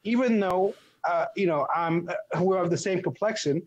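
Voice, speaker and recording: A man from Ethiopia explaining his views as an interview guest, haltingly repeating words as he builds formal phrases. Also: sits high, muffled recording. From born in Ethiopia. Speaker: interview guest, article writer. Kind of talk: thoughtful conversational explanation.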